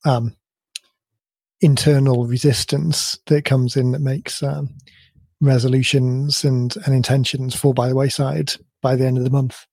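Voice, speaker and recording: A man co-hosting a podcast in casual chat, his voice a bit croaky, thinking aloud in long rambling sentences. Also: mid-range voice, flat delivery, a little slow, darkish tone, dry recording. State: slightly croaky voice. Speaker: podcast co-host.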